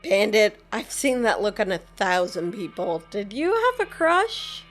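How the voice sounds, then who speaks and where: A woman's voice: mid-range voice, very animated, measured pace, faint music behind. A woman voicing an earnest young wanderer who asks a lot of questions, in a game dialogue. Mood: curious. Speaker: player character. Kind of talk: fan-voiced game dialogue.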